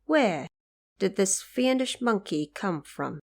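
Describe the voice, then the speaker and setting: Woman as a measured, gracious female bodhisattva. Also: medium pitch, animated, gravelly, a little mellow, full character voice. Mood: courteous, calm. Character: compassionate female bodhisattva, calm, courteous, commanding. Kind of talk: audio drama storytelling.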